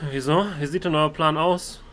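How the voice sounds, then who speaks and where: A man role-playing his video game hero's dialogue as a let's player, with a hesitant, deliberate delivery. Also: fairly high pitch, steady tone, a bit bright, a little hiss or hum.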